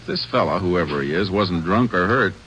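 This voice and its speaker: Deep, steady Texas Ranger. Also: male voice, fairly quick, low-quality recording. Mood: matter-of-fact. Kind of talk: 1940s-50s radio drama acting. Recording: old radio recording, crowd murmur behind.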